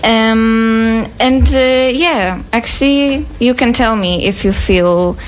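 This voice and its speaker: Slow-speaking woman hosting a chat. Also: fairly high pitch, rough recording. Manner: slow, gentle, hesitant questions. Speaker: interviewer. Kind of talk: hosting a student group chat.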